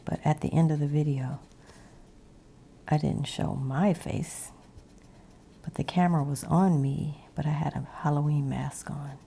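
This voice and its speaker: A woman ASMR creator rambling in a breathy whisper mixed with soft, hushed speech, meandering as she goes. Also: low voice, steady tone, unhurried, long pauses, slight background noise.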